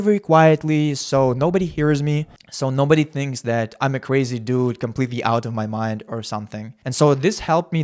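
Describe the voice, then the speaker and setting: A young man from Russia talks to camera in English with an American-sounding accent, his first language being Russian. His voice sits at an ordinary middle pitch for a man. He tells his story in a chatty, candid, casual way. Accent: American-sounding English learned as a second language. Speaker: language-learning YouTuber. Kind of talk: casual talking-head vlog.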